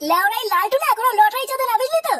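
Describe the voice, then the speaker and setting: A man voicing a boastful, snobbish newly rich gambler in a comedy cartoon, with a West Bengal Bengali accent and a pitched-up voice. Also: lively intonation, very fast, very noisy. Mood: scornful bragging. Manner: boastful, dismissive, sweary. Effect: pitched-up, sped-up voice. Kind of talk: comic cartoon voice acting.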